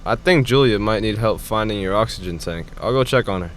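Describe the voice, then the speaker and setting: A man's voice: lowish voice, a little fast, background noise, lightly acted. A man playing a frank, defiant young fiancé in an audio drama, talking bluntly and confrontationally. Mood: frustrated.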